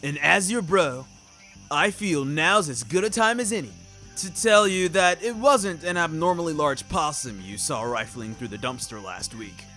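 Man voicing an excitable, high-pitched young werewolf. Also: moderate pace, faint music behind. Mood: earnest, desperate. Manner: exclaiming, slangy. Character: big anxious grateful young werewolf buddy.

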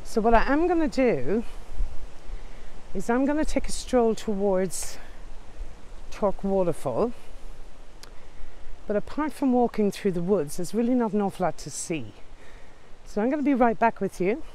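A woman's voice: medium pitch, animated, unhurried, long pauses, dark tone, rough recording. An Irish woman tour guide chatting directly to viewers as she walks outdoors with a handheld microphone. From Ireland. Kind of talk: walking tour vlog. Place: outdoors in woods and on a lakeshore.

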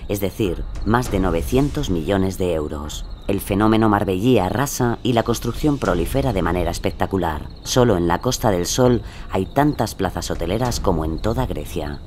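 A male narrator tells a true-crime story in scripted, dramatic fashion. His voice is low, with clearly audible ambient noise behind it. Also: lively intonation, moderate pace, darkish tone.

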